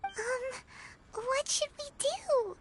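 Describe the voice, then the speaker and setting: A woman voicing a small, excitable, childlike fairy companion in a video game, chatty and exclamatory. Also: very high-pitched, extremely slow, full character voice. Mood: puzzled. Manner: exclamatory, chatty, hesitant with ums when unsure. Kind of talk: video game character acting. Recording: clear noise behind the voice.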